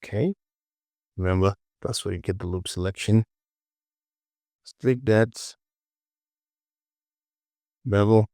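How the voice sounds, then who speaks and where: A man giving a casual software tutorial, talking through his work in short, informal instructions as he goes. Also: fairly low pitch, slow, pauses often, dry recording, studio quality. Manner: informal, muttered short instructions to self and viewer. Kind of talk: casual screen-recorded software tutorial, talking while working.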